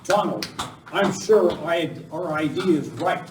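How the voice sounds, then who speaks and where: An earnest, commanding western hero acted by a man in a live radio play. His voice sits a little high. It echoes around the room over faint noise. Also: unhurried, close to an everyday voice. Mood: resolute. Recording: roomy, distant live recording, faint noise.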